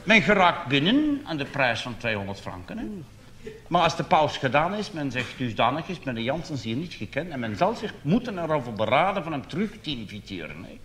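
A man's voice: mid-range voice, big pitch swings, fairly quick, fairly bright tone, a little hiss or hum. A man playing a formal, disapproving Flemish theatregoer with an Antwerp accent and pompous, ornate phrasing in a cabaret sketch. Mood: pompous, dissatisfied. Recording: live stage recording, roomy echo.

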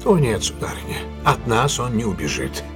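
An actor playing a self-assured gentleman detective in an audio drama, decisive, courteous and authoritative. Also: mid-range voice, very animated, normal speed, close to an everyday voice. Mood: firm. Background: music.

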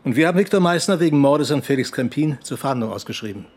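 An actor playing an eager, indignant police investigator with a husky voice in a naturalistic radio drama. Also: male voice, medium pitch, expressive, quick pace, a little mellow. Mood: urgent, indignant.

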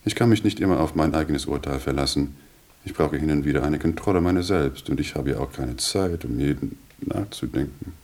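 An actor playing a composed, wealthy businessman back from America in a German radio play, polite and deliberate. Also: a bass voice, a little mellow. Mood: businesslike.

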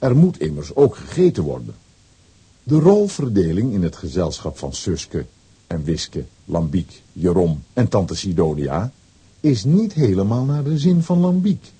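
A man narrating a children's radio play in a scripted storytelling style, with a dark, husky voice. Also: low-pitched, sing-song, measured pace, heavily acted.

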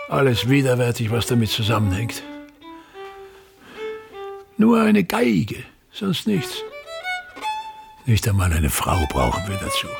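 An actor in a radio play voicing a pompous, obsessive theater man who declaims pedantically and repeats his phrases, with a gravelly, husky voice. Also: mid-range voice, exaggerated voice. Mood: brooding, disdainful.

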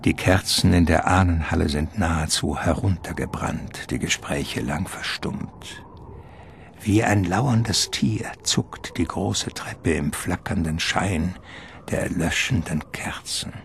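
A man narrating a radio drama from a script in a deliberate, suspenseful manner. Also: sits low, gravelly, slight background noise.

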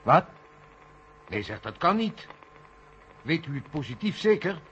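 Sceptical mission controller, dull old recording. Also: male voice, on the high side, big pitch swings, a little slow, fairly bright tone, slight background noise. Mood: urgent. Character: Sam, sceptical, matter-of-fact male Mission Control colleague. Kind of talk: 1970 radio play acting.

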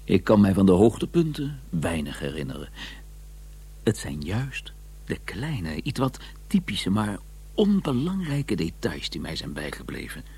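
An actor playing an educated scholar who narrates his memories in a measured, musing, wry way with a husky voice in a radio drama. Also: low-pitched, sing-song, dark tone, a little hiss or hum, exaggerated voice. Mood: reflective. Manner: measured, musing, deliberate pauses.

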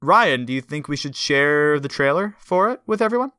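A man's voice: fairly high pitch, expressive, normal speed, bright, forceful tone, clean tone, no echo, studio quality. A young man hosting a podcast, chatting casually with enthusiasm and a rambling flow. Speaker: podcast host.

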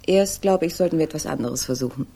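A woman's voice: deep voice, quick pace, gravelly, warm tone, husky, exaggerated voice. An actress playing a self-possessed, teasing young woman in a matter-of-fact manner in a 1960s German radio crime drama. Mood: composed. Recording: music behind the voice. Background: music.

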